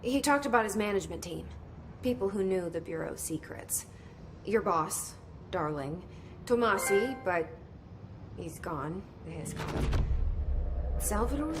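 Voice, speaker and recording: An actress playing a determined young woman who is thinking aloud in a low inner monologue. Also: lively intonation, measured pace, pauses often, background noise, close to an everyday voice. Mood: focused.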